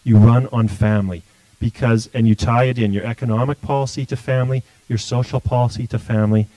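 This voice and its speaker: A man's voice: mid-range voice, fairly even intonation, a little fast, dark tone, no room sound, poor audio. A middle-aged man, answering questions as a political candidate in a blunt, forthright way. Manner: blunt, forthright, restarts often. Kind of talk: livestream interview answers.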